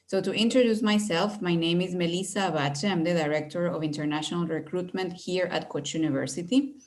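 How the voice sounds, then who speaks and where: A middle-aged woman from Venezuela, a university recruitment director, presenting an online webinar over a video call, friendly and measured with many fillers. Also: on the low side, steady tone, darkish tone.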